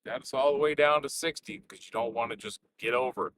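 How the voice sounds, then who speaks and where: A man's voice: mid-range voice, brisk, a bit bright, a little hiss or hum. A man hosting a trucker vlog, chatting casually in his truck cab while he drives. He is congested with a sore throat, and he curses at other drivers. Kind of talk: casual chat while driving. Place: inside a semi truck cab on the road.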